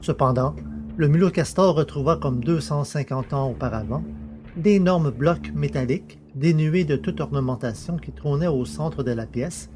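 A man's voice: on the high side, normal speed, over music. A man narrating a science-fiction audio story in a scripted, literary storytelling style.

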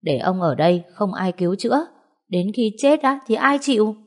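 A woman voicing a rude, grasping middle-aged aunt who wails and scolds loudly in an audio story. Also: mid-range voice, expressive, moderate pace, voice pushed up, natural voice. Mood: scornful. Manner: wailing, scolding, loud.

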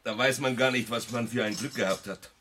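An actor playing a stout, jovial, excitable Austrian war veteran in a radio play, effusive and given to repeating himself. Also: male voice, mid-range voice, fairly quick, a little hiss or hum, close to an everyday voice. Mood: warm, eager. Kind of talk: German radio play acting.

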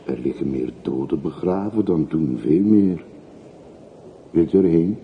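An actor playing an earnest railway porter in a radio play, formal and deferential yet full of probing questions. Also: male voice, very deep voice, expressive, measured pace, exaggerated voice. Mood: puzzled, obliging. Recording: muffled phone-like old radio recording, faint hiss.

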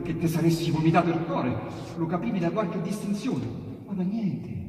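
A man reciting verse dramatically, moving between loud cries and hushed whispers. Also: fairly high pitch. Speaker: reciter of dialect poetry. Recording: echoey hall, distant mic, broadcast on radio. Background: piano accompaniment.

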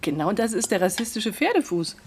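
An actress playing an articulate, critical middle-aged dinner guest in a radio play, speaking with assurance. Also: female voice, medium pitch, very animated, brisk, a little hiss or hum, lightly acted. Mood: pointedly critical. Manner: assured, opinionated.

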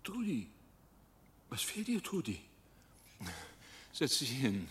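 A man's voice: mid-range voice, huge pitch swings, slow, fairly bright tone, a little hiss or hum, exaggerated voice. A man playing a polite, bewildered man in his sixties in a radio play, hesitant and courteous. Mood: puzzled. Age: 60s. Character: polite, bewildered man nearing retirement, gently awkward. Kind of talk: German radio play acting.

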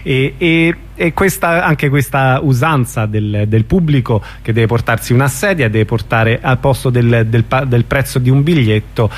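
Halting male radio interviewer, mid-pitched.

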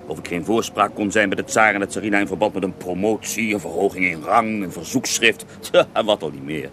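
An actor playing a pious, self-righteous Russian mystic healer in a radio drama, devout and wounded in manner. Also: male voice, sits low, fairly quick, a bit bright, a little hiss or hum. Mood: self-justifying. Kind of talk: radio drama acting, mock interview.

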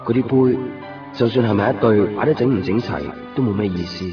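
A man's voice: lowish voice, fast, lightly acted. An actor playing a melancholy, lovesick man with a Hong Kong Cantonese accent, narrating wistfully in a radio drama. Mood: wistful longing. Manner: wistful, introspective. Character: lovesick, melancholy man pining for a missing woman. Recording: muffled 1990s radio drama, distant, music behind. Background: music.

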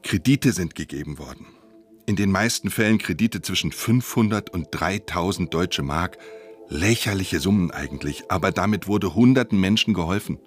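An actor in a German radio play playing an agitated official, speaking emphatically and indignantly. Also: male voice, low-pitched, animated, moderate pace, faint music behind. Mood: indignant, emphatic.

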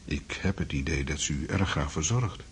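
An actor playing a calm, paternal doctor in a radio drama, measured, soothing and patronising. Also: male voice, very deep voice, a little hiss or hum. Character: calm, paternal male doctor, smoothly persuasive. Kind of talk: Dutch radio drama acting.

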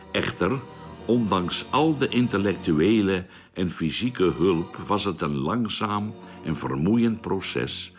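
Deep-voiced spaceman narrator over music. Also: heavily acted. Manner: measured storytelling, formal. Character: sober male spaceman recounting his expedition. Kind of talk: scripted narration in a radio drama.

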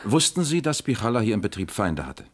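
An actor playing a shrewd East German police captain in a German radio crime drama, questioning in a dry, businesslike way. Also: male voice, lowish voice, big pitch swings, brisk. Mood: measured, probing.

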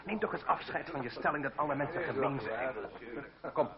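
A man's voice: fairly high pitch, a little fast, close to an everyday voice. An actor playing an earnest, anxious, principled young man in a period radio drama, pleading and reproachful. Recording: dull, lo-fi old radio play, crowd behind.

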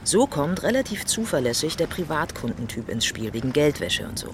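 A woman's voice: sits low, very animated, brisk, background noise. An actress playing a bossy, clever woman who masterminds a robbery crew, commanding and impatient in naturalistic radio drama dialogue. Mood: briskly planning.

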